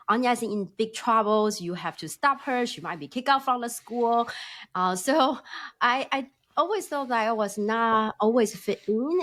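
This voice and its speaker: Female podcast guest, middle-aged, Taiwanese accent, mid-pitched voice, conversational storyteller who voices quoted dialogue. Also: a bit bright. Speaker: podcast guest, company founder. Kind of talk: conversational personal storytelling in an interview.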